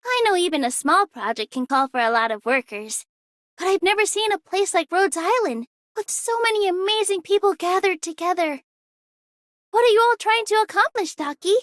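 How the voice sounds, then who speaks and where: A very high-pitched eager girl. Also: normal speed, no room sound, studio quality. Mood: eager wonder. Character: eager, wide-eyed young girl worker, cheerful and curious. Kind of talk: scripted game character lines.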